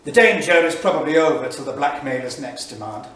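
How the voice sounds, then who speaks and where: An actor playing an anguished, indignant 1930s English diarist, emphatic and declamatory, in a staged reading. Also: male voice, medium pitch, lively intonation, fairly quick, fairly bright tone, close to an everyday voice. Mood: scornful, outraged. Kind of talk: dramatic staged reading of a journal. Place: small theatre studio. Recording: echoey theatre, distant mic, faint noise.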